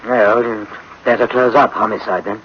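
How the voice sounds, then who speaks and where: A man's voice: fairly low pitch, fairly even intonation, moderate pace, slight background noise, natural voice. A man playing a disgruntled, skeptical veteran homicide cop in a radio drama, sardonic and slangy, on a muffled old recording. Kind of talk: 1960s-style radio drama acting.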